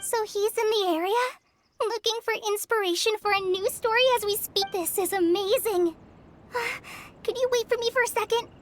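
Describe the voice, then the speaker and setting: A woman voicing an earnest, kindly young trainee forest ranger in an anime game, gentle and reassuring. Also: very high-pitched, moderate pace, slight background noise. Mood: eager. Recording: music under the voice.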